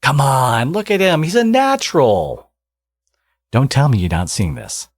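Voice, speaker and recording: A man playing a smug, flirtatious villain in an intimate ASMR audio roleplay, teasing and coaxing, with a gravelly, husky voice. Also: medium pitch, huge pitch swings, a little slow, no echo, studio quality, heavily acted. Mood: playful, doting.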